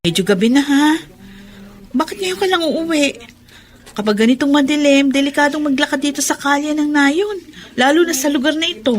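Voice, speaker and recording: A woman acts a fussy older village neighbour in a Filipino radio drama. She nags and cautions in a somewhat high voice. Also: brisk, close to an everyday voice. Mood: fussing, concerned.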